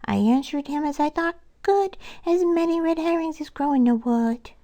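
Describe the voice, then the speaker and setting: A mischievous young male squirrel from a storybook, put on by a woman reader, pitched far up high. He is impudent and cheeky, chanting his riddles in a teasing sing-song. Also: expressive, normal speed, mellow. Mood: cheeky, taunting.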